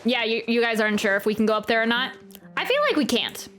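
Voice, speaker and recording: A woman with a high voice hosts a casual game livestream. She rambles in a giggly, chatty way, with soft game music behind her. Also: quick pace. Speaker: livestream host playing a video game. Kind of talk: casual livestream reaction chat.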